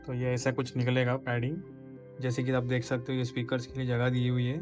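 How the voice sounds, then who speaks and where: A man's voice: mid-range voice, monotone, fairly quick, over music. A man vlogger casually explaining a hands-on installation step by step, giving practical tips as he works. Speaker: motorcycle vlogger.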